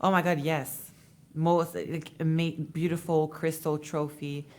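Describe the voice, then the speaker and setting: A woman speaks in a low register. As an interview guest she tells her story in an easy, chatty way, full of fillers. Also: little pitch movement, measured pace, darkish tone. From Montreal, Canada. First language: French. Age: 20s. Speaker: interview guest, bikini competitor. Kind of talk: casual interview talk.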